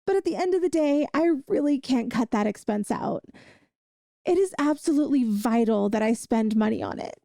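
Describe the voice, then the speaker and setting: High, sweet adorkable yandere girlfriend, acted by a woman. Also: lively intonation, moderate pace, gravelly, dark tone, no room sound, studio quality. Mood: doting, chirpy, then defensive, rambling. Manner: rambling, sweetly earnest, doting, cheerfully obsessive. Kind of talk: ASMR audio roleplay, comedic monologue to listener.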